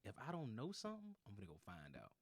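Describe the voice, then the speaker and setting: Lively man in his late twenties. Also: fairly low pitch, fast, no room sound. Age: late 20s. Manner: animated, laughs often, acts out his own thoughts. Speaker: podcast co-host. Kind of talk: casual chat.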